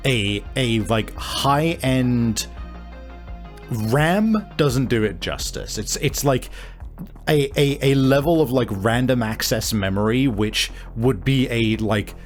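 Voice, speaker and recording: A man improvising narration as a tabletop game master, halting and informal as he describes things. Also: fairly low pitch.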